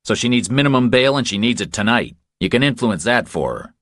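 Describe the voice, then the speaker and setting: A man's voice: medium pitch, very animated, a little fast, a bit bright, no echo, clean studio sound, voice pushed up. A man voicing a blunt, terse former military policeman in an audiobook, speaking with urgent insistence. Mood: urgent, insistent.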